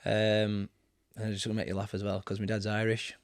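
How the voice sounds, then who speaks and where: A man in his thirties with a Barnsley accent, a guest in a casual interview, talking in a relaxed, rambling way with many ums. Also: fairly low pitch, brisk, no room sound, studio quality. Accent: Barnsley, Yorkshire. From England. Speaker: guest, football manager. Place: cluttered home studio, indoors. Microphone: studio mic with headphones.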